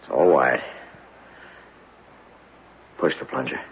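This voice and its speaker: An actor playing a bold, curious geologist who gives terse orders in a radio mystery drama. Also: male voice, very deep voice, slow pace, slightly rough, exaggerated voice. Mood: determined. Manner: commanding, giving terse instructions. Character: bold, curious male geologist leading an underground expedition. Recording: dull, noisy old radio broadcast recording.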